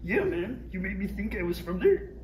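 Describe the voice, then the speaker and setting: A man plays an ancient, chilled-out alien sage in a parody read. The voice sits high and swings about, with slangy dude phrasing and backwards grammar. It is picked up from a distance in an echoing room. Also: big pitch swings, normal speed, darkish tone. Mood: indignant. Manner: slangy dude talk mixed with inverted word order. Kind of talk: comedy table read. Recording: roomy, distant mic, faint noise.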